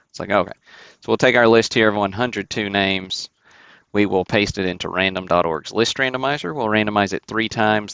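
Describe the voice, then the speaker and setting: A man's voice: low-pitched, gravelly, fairly bright tone, dry recording. A man hosting a sports card break livestream, chatting casually and thinking aloud with fillers and restarts.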